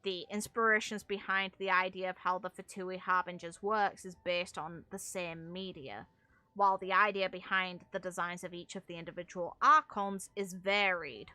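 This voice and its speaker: Bright-voiced young woman, blunt. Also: lowish voice, fairly even intonation, moderate pace, no room sound. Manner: blunt, swearing freely. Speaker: gaming content creator. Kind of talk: casual opinionated game commentary.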